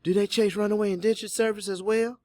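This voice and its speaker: A man's voice: high-pitched, flat delivery, fast, a little mellow, no room sound, studio quality, voice pushed up, lightly acted. A man voicing an earnest, outspoken young woman in an audiobook, questioning and insistent. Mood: wondering.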